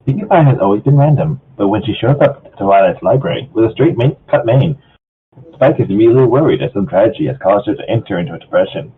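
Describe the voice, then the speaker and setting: A man's voice: fairly low pitch, lively intonation, fast talker, a little hiss or hum. A man chatting casually and reading a story aloud with friends over an online voice call. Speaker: amateur fan fiction reader. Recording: muffled, phone-like online voice call.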